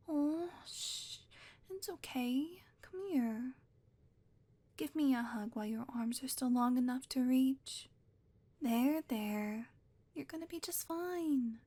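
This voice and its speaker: A woman voicing a sweet, possessive witch in a scripted roleplay, talking softly and teasingly and often dropping to a whisper. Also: sits high. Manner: soft, doting, teasing, drifts into whispers. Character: sweet possessive female witch, playfully menacing. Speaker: audio roleplay performer.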